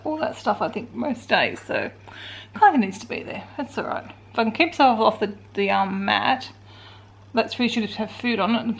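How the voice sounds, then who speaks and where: An Australian woman in her forties with a croaky voice, talking casually and wearily through her day at home in a vlog. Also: fairly high pitch, slight background noise, poor audio. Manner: tired, rambling, self-talking. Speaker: vlogger. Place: indoors at home.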